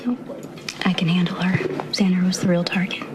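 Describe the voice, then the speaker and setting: A woman playing a guarded, conflicted young lover in a soap opera, talking softly and haltingly with a breathy tone. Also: fairly low pitch, flat delivery, very noisy, lightly acted. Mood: guarded, wry. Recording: loud music over the dialogue.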